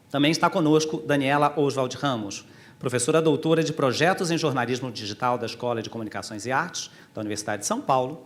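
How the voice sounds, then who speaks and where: A middle-aged man with a Brazilian Portuguese accent opens a panel debate. He reads out credentials in a measured, formal tone. His voice sits at an ordinary pitch. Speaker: host and debate moderator.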